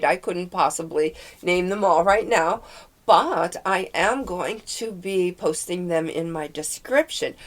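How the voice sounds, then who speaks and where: A woman with a cold and a congested, nasal voice, chatting and rambling about the books she is reading. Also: on the low side. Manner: rambling, chatty, full of fillers. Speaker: book vlogger. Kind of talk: casual chat about books.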